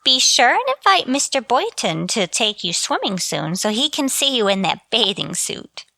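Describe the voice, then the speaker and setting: A woman's voice: a little high, sing-song, moderate pace, gravelly, bright, forceful tone, no room sound, heavily acted. A meddling older landlady, voiced by a woman in old-time radio comedy style. She is motherly, fussy and coaxing, and the recording is dry.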